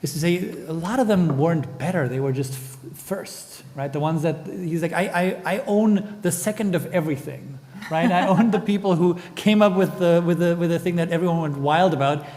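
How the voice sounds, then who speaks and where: A man's voice: fairly high pitch, moderate pace, a little mellow. A man from Germany, speaking as a panelist in a thoughtful, digressive way and often adding "right?". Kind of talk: panel discussion. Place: conference hall. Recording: long hall reverb.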